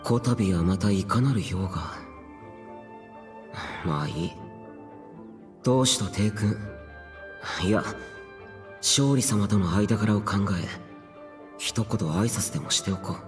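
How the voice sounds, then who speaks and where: An actor playing a stern, reserved youthful immortal warrior in an anime game, terse, solemn and old-fashioned in speech. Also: male voice, lowish voice, slow, long pauses, long reverb tail. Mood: aloof, brooding. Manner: terse, archaic, solemn and deferential. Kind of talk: Japanese anime game voice acting. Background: background music.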